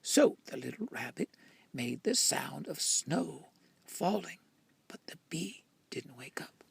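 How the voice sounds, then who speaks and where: A man reading a picture book expressively to children, breathy and often near a whisper, with drawn-out, dramatic delivery. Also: a little high, expressive. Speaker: children's story reader.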